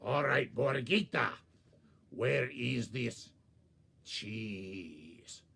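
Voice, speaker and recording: A man's voice: medium pitch, animated, drawn-out words, creaky, sharp tone, husky, heavily acted. A man voicing a grumpy old male coyote, whiny and exasperated. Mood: demanding.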